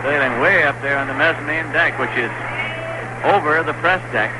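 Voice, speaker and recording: A man calling a baseball game play by play on the radio, brisk and excited on big plays. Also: a little high, music in the background, low-quality recording. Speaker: play-by-play announcer. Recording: hissy 1960s radio broadcast.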